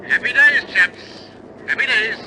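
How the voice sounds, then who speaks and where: Resolute air force skipper, raised high pitch, clipped commands, war drama, loud engine drone behind. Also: male voice, unhurried. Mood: tense, commanding. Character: resolute male bomber pilot captain, grimly steady under danger. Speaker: actor. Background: aircraft engine noise.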